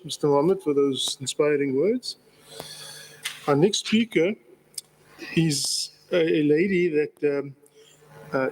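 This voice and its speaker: A man's voice: a little high, a little hiss or hum, rough recording. A man acting as master of ceremonies, introducing the next speaker in an informal, hesitant way. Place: convention hall.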